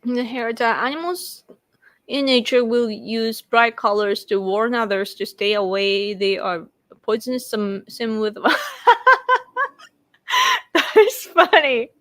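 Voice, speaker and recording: A woman's voice: fairly high pitch, slow, pauses often, a bit bright, dry recording. A Japanese woman in her late thirties with a Japanese accent, chatting casually on a live stream and laughing often as she answers viewers. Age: late 30s. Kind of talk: casual chat.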